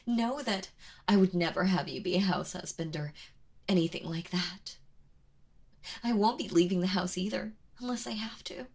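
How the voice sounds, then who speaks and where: A woman acting as a soft-spoken yandere woman with a breathy, slightly creaky voice. She is tender and anxiously possessive, pleading haltingly and slipping toward a whisper, as in an intimate ASMR roleplay. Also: medium pitch. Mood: soothing, possessive. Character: kind, obsessive yandere woman, lovesick and anxiously possessive captor.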